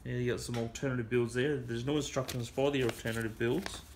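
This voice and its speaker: A man's voice: medium pitch, fairly even intonation. A man casually showing off and reviewing a vintage building set, rambling with fillers, in a cluttered toy room. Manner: unhurried, rambling, with frequent fillers. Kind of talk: casual show-and-tell commentary. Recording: noisy home video.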